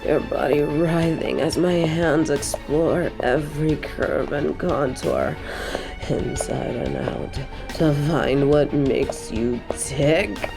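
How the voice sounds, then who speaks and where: A woman's voice: deep voice, music in the background, full character voice. A woman playing a possessive, imperious evil queen in an audio roleplay, taunting and haughty. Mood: possessive, sultry. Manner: slow, taunting, imperious, with breathy drops to a whisper. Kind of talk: scripted audio roleplay drama.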